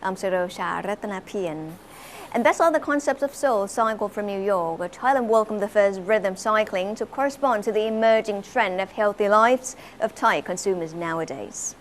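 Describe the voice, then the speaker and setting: A woman's voice: medium pitch, normal speed, slight background noise. A woman hosting a TV interview show, asking questions politely with many "you know" fillers. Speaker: TV show host. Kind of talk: broadcast interview and scripted intro.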